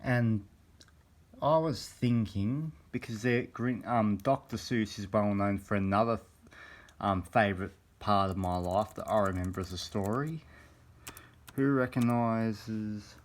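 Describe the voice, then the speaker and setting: A young man with a very deep voice, made croaky by a cold. He rambles along in a casual phone-camera vlog, pausing often to find his words. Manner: hesitant, meandering, many pauses. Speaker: vlogger. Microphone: handheld phone camera.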